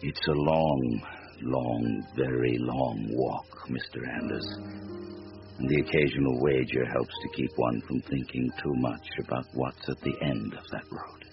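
An actor playing the Devil in a radio drama as an ancient, world-weary tempter, slow, deliberate and wry. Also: male voice, extremely low pitch, fairly even intonation, creaky, heavily acted. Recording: muffled radio recording with music behind.

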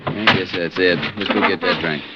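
An actor playing a plain-spoken, harried middle-aged Texas Ranger captain in a 1950s radio western, talking in a folksy, drawn-out way. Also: male voice, sits low, steady tone, very noisy, close to an everyday voice. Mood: friendly, coaxing. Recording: dull vintage radio recording.

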